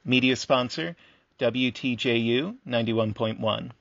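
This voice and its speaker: An actor playing a calm, sympathetic radio host who interviews buildings, speaking in a measured, understated scripted style. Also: male voice, medium pitch, creaky, dry recording, lo-fi sound.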